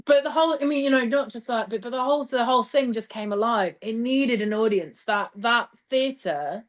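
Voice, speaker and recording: A woman's voice: on the high side, normal speed, dull audio. A woman talking enthusiastically and emphatically about her craft as a theatre director being interviewed.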